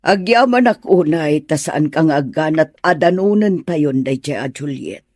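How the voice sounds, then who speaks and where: Woman as older Ilocano mother, ailing, coaxing, matchmaking, lively swooping pitch, dry close sound, radio drama. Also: measured pace, no echo. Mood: plaintive.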